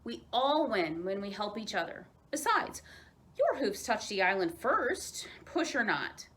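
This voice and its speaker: A kindly aunt moose, read by a woman from a storybook. She is playful and encouraging, teasing gently with animated intonation. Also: medium pitch, a little slow, slight background noise.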